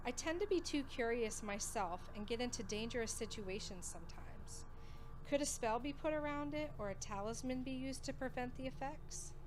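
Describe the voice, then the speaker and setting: A woman's voice: a little high, lively intonation, normal speed, natural voice. A woman playing an earnest, curious young academy student and wife in an audio drama. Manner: earnest, concerned.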